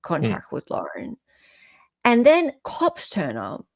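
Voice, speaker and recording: Australian woman chatting with creaky voice. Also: medium pitch, huge pitch swings, slow pace, no room sound. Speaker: live stream host. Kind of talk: casual opinionated chat.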